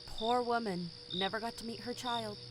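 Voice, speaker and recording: A woman playing a bitter, vengeful rebel commander in an audio drama, speaking with formal, deliberate menace. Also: a little high, background noise, lightly acted. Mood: cold, threatening.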